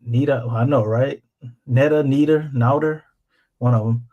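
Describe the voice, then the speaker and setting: A man with an American accent and an average-pitched voice hosts a casual live sports show. He talks in loose slang, joking and swearing freely as he goes. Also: fairly even intonation, unhurried, muffled recording.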